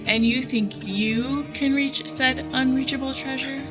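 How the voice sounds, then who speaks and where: A woman's voice: sits high, steady tone, unhurried. An actress playing a sly, hard-bitten female bounty hunter in an audio drama, cool, sardonic and taunting. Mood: skeptical, menacing. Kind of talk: dramatic audio fiction acting. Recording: music under the voice.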